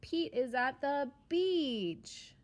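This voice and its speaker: A woman preschool teacher reading a picture book aloud to young children in simple, sing-song phrases, pausing after questions. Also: sits high, expressive, slight background noise. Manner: simple short phrases with deliberate pauses for answers.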